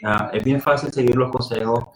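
A man speaks as an advisor in a medium-pitched voice, explaining things conversationally and earnestly. He tends to repeat words as he searches for phrasing, and the room adds a clear echo. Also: fairly even intonation, moderate pace, slight background noise. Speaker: client advisor. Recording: echoey room sound.

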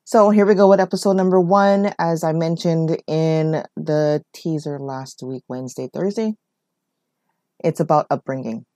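A woman's voice: sits low, fairly even intonation, moderate pace, warm tone, no echo, clean studio sound. A woman, telling her life story as a podcast host in a rambling, conversational way. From Hawaii. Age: 40s. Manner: rambling, conversational, leans on "you know" and "whatnot". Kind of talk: personal storytelling monologue.

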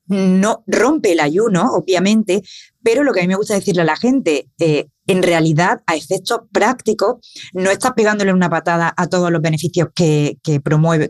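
A woman's voice: lowish voice, darkish tone, no echo, clean studio sound. A woman hosting a podcast interview, asking questions in a chatty, enthusiastic way. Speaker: podcast host. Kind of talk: casual interview talk.

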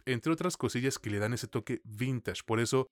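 A man's voice: mid-range voice, normal speed, no room sound. A man with a Mexican accent giving a conversational film review as a podcast co-host, in informal, slangy language. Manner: informal, slangy, peppered with swearing.

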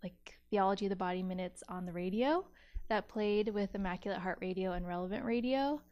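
A woman in her thirties from California talks as a podcast guest. She is bubbly and quick to laugh. Her sentences wander with plenty of fillers. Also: mid-range voice, darkish tone. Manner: bubbly, giggly, filler-heavy. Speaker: guest, youth minister. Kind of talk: casual interview.

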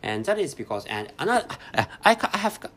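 A man with a Japanese accent hosting a solo podcast from a hotel room, speaking English slowly and carefully, with constant restarts and self-corrections. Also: on the high side, sing-song, fairly bright tone. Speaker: podcast host. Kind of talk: unscripted solo podcast monologue.

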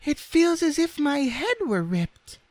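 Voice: sits high, big pitch swings, takes it slowly, no room sound, pitched above the performer's own voice, heavily acted. 音调极高、活泼热切的小布娃娃安. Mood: muddled, puzzled. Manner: bright, eager, exclamatory.

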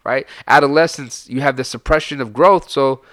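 A man's voice: mid-range voice, moderate pace, a bit bright, dry recording. Blunt, profane man from Pasadena. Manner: blunt, profane, preachy about recovery. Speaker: podcast co-host. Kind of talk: casual podcast chat.

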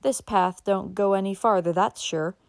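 A woman reader acts out an impatient storybook horseman, a gruff hunter. He speaks curtly and exclaims his lines, in a very dry acoustic. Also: mid-range voice, normal speed, no room sound, lightly acted. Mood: irritated. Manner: curt, exclaiming.

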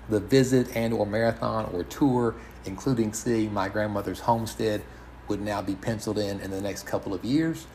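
A man hosting an informal solo podcast in a halting, measured way. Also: on the low side, darkish tone. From United States. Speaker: podcast host. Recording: audible background noise.

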